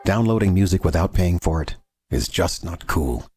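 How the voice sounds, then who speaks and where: A man's voice: low-pitched, expressive, moderate pace. A man reading a scripted public service announcement. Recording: loud guitar music behind.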